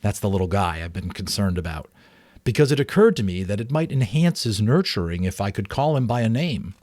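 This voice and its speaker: Guy doing a storybook dad, thoughtful n confiding, lowish voice, measured, dry sound. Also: lively intonation, a little mellow, no room sound. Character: thoughtful, confiding father of a family.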